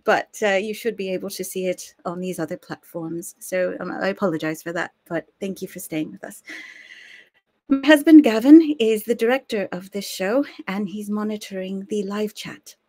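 A woman's voice: mid-range voice, moderate pace, a little mellow. An American woman from the United States in her thirties, hosting a live-streamed history show from her home study in a chatty, friendly way with small fillers. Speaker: host.

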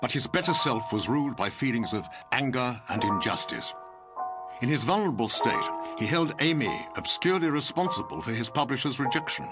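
An actor as the grave narrator of a radio drama, measured and literary. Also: male voice, mid-range voice, expressive, loud music behind the voice, natural voice.

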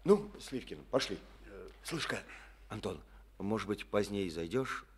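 A folksy, boastful district boss. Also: male voice, lowish voice, expressive, unhurried, husky. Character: boastful middle-aged male collective-farm boss. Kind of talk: Soviet radio play acting. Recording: old studio radio recording.

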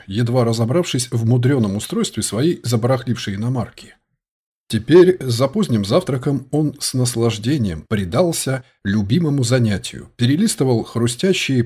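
A man's voice: mid-range voice, darkish tone, husky. A man reading a detective novel aloud as scripted audiobook narration.

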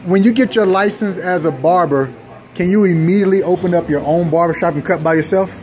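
A young man interviewing a barber, upbeat and quick to laugh. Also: sits high, darkish tone. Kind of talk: casual interview. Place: barbershop, indoors. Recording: music in background. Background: music playing.

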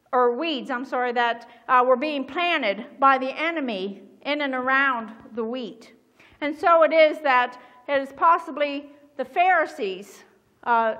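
A middle-aged woman pastor giving a sermon from a church pulpit, speaking in a measured, earnest way. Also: high voice, sharp tone. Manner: measured, earnest reading aloud. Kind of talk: sermon with scripture reading. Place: church sanctuary behind a pulpit. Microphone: small mic at the pulpit. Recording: long church reverb.

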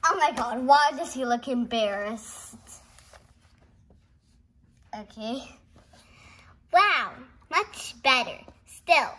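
A young girl reading a picture book aloud haltingly, with giggly, exclaiming casual asides. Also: high voice, sharp tone. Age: child. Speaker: host of a read-along video.

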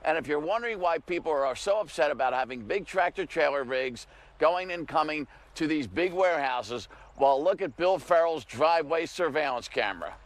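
A man's voice: fairly high pitch, animated, moderate pace. A middle-aged man reporting live outdoors for TV news, telling the story in a conversational, emphatic way. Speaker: field reporter. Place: outdoors by a grassy field.

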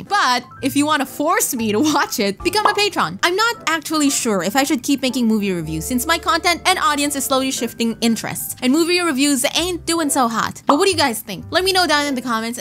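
A woman giving casual scripted movie commentary in a playful, expressive, slangy way. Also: high-pitched. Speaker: YouTube movie reviewer. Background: soft background music.

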